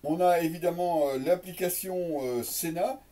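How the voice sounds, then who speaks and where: A man's voice: a little high, moderate pace, dark tone, far from the mic. A man casually presenting an unboxing, explaining as he goes in an improvised, hesitant way and speaking directly to viewers. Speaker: product reviewer and presenter.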